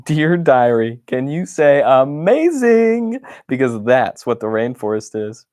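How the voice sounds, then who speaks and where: A man's voice: mid-range voice, big pitch swings, takes it slowly, darkish tone, dry recording, clean studio sound. A man voicing a cheerful, happy-go-lucky wooden stick who reads his diary with bubbly enthusiasm. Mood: excited.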